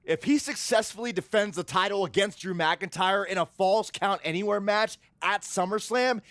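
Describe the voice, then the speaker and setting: Game wrestling commentator, excitable sports call, extremely high register. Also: male voice, normal speed, no echo. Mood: announcing.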